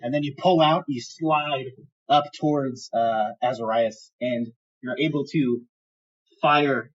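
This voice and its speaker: A man improvising narration as game master of a tabletop fantasy game, describing the action in halting, vivid detail. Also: medium pitch, fairly even intonation, lo-fi sound.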